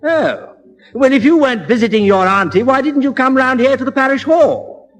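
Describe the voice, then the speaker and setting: A man's voice: very high-pitched, lively intonation, moderate pace. A man playing a prim, fussy, honest curate in a 1960s British radio comedy. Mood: flustered. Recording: reverberant old radio recording.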